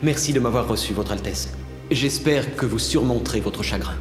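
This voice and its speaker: A courtly young prince voiced by a man, earnest and ardent, in a cartoon fairy tale. His mid-pitched voice is formal and deferential, with long, echoing hall reverb around it. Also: normal speed, faint music behind, close to an everyday voice. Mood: resolute.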